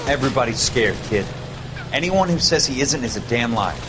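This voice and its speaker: War game sergeant played by a man: plainspoken, reassuring male, medium pitch, noisy combat ambience. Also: fairly quick, natural voice. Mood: steady, reassuring. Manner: plainspoken, steady, fatherly. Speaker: Marine sergeant character. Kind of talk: video game cutscene acting. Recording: battlefield noise behind.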